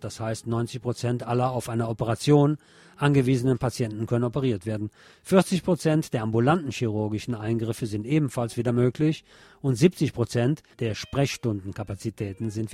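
A man's voice: medium pitch, a little mellow, no room sound. A man presenting radio news with sardonic, opinionated commentary. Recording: music bed under the voice. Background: music.

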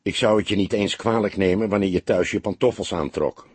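Man voicing timid, husky young detective. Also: low-pitched, a little fast, no echo. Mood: timid, downcast. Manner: timid, hesitant, earnest. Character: insecure, sensitive young male police detective.

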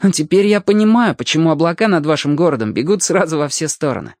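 A man's voice: fairly high pitch, animated, quick pace, a little mellow, no echo, clean studio sound, voice pushed up. A man voicing a polite, earnest young boy in a children's audiobook tale. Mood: thoughtful. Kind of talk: acted audiobook dialogue.

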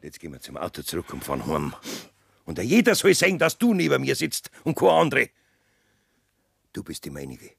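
An actor playing a blunt, scheming middle-aged farmer with a Bavarian accent in a German radio play. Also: male voice, mid-range voice, wildly swooping pitch, fairly quick, vocal fry, fairly bright tone, slightly rough, exaggerated voice. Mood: insistent, possessive. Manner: blunt, domineering. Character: hard, scheming farmer demanding a divorce from his wife.

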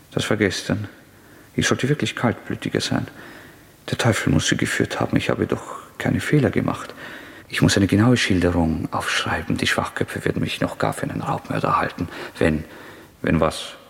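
Radio play: guilt-haunted young killer, brooding and agitated, gravelly low-ish voice in long reverb. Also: male voice. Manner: halting, agitated, self-questioning. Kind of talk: German radio drama acting.

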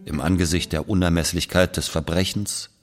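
An actor playing a brooding, guilt-ridden Algerian man who recalls his past in a grave, measured literary monologue. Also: sits low, steady tone, natural voice. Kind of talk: literary monologue, scripted narration. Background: plucked guitar music.

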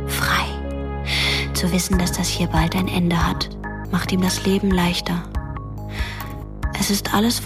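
A woman playing the watchful, tender ghost of a dead teenage girl, narrating a radio play quietly and in measured phrases. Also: medium pitch, natural voice. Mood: tender, grave. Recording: music behind the voice.

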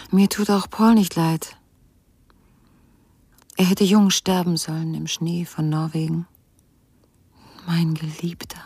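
A cool widow hiding murder in a radio crime play. Her voice sits fairly low. She speaks with tight control, often dropping to a breathy hush. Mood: resigned. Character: Mrs. Wade, cool, evasive widow and secret murderess. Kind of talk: 1990s German radio crime drama acting.

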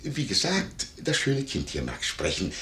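An actor playing a nosy, greedy, fawning innkeeper with an ingratiating, wheedling manner, in a classical comedy radio play. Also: male voice, mid-range voice, wildly swooping pitch, a little slow, fairly bright tone. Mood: indignant, offended. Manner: ingratiating, wheedling, over-protesting. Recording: roomy vintage 1950s radio recording.

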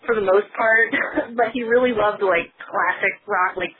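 A woman's voice: medium pitch, normal speed, slightly rough. A woman in her thirties, a guest and author, talking casually with frequent laughs and lots of filler words. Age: 30s. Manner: chatty, laughs often, many fillers. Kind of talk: casual conversation. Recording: tinny phone-like sound.

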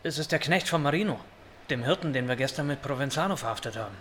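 An actor playing a brisk, offhand subordinate policeman reporting to his boss in a German radio crime drama. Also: medium pitch, animated, fairly bright tone, a little hiss or hum, lightly acted.

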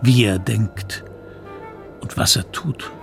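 A man playing a troubled narrator who recalls his boyhood guilt in a radio play, measured and brooding. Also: lowish voice, gravelly, music in the background.

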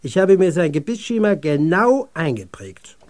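A self-certain male dentist in a radio play. He speaks precisely and emphatically, somewhat high for a man, with big swings in intonation. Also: very animated, normal speed, a little mellow, a little hiss or hum. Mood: assured.

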